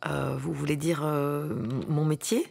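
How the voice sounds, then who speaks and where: A woman playing a meek, bewildered office employee in a radio satire, speaking timidly and haltingly. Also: sits low, steady tone, creaky, darkish tone, husky, no room sound, full character voice. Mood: confused, hesitant. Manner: hesitant, stammering, timid. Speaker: voice actor in a radio drama. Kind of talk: satirical radio comedy dialogue, phone-call scene.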